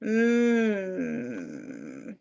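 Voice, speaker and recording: A woman's voice: mid-range voice, wildly swooping pitch, drawn-out words, creaky, mellow, muffled recording. A woman speaking as an interview guest, explaining things informally and often voicing her own thought process. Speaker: podcast guest, script writer. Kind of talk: casual interview chat.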